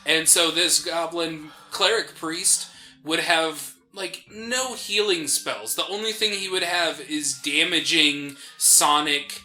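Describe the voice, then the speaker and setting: Very bright, higher-pitched man chatting. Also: takes it slowly. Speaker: co-host of a game playthrough show. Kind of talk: casual chat. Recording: game music behind the talk. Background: video game music.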